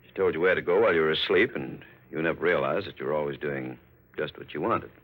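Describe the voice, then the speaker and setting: Very deep-voiced, steady police captain. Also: male voice, fast talker, exaggerated voice, rough recording. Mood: explaining. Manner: matter-of-fact, explanatory. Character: steady, authoritative male police captain explaining a case. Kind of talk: 1940s radio acting.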